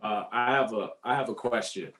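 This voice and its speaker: A man's voice: on the low side, normal speed, fairly bright tone. A man from the Caribbean, an actor, speaking earnestly and casually in a discussion, often checking that he is understood. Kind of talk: casual Q&A comment.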